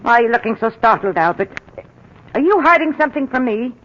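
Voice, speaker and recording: A woman's voice: on the high side, sing-song, fast, a bit bright. An actress playing a possessive, accusing older mother-in-law in a 1940s radio drama. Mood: menacing. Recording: muffled old radio broadcast.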